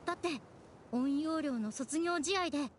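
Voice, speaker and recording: A woman's voice: sits high, moderate pace, natural voice. A woman voicing a polite, chatty, self-deprecating young female guide in an anime game. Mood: modest, grateful. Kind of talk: Japanese anime game voice acting.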